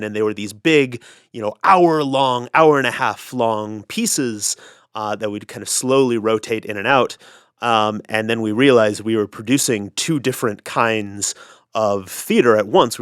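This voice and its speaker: A man with a smooth, clear voice on the low side explains things conversationally as an interview guest. The recording is dry, with hardly any room in it. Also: a bit bright, no echo. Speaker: interview guest, audio drama collaborator.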